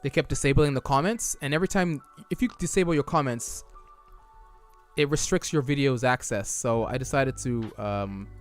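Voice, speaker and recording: A man's voice: medium pitch, normal speed, faint music behind. Chatty young male streamer, voice going. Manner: chatty, reads chat aloud and answers it, loose restarts. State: losing his voice. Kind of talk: casual live-stream chat reading viewer messages.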